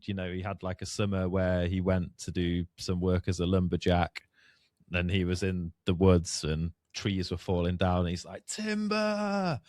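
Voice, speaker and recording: A man's voice: fairly low pitch, no echo, studio quality. A man co-hosting a podcast, chatting casually and joking, often repeating words as he rambles. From immigrant to Australia. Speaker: podcast co-host. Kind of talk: casual banter.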